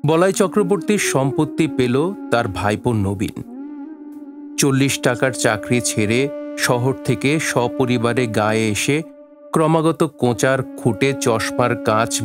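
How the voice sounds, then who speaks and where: Man playing audio-drama narrator of a village mystery, medium pitch, literary phrasing, light music bed. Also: unhurried, natural voice. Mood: solemn, suspenseful. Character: male storyteller of a village murder mystery.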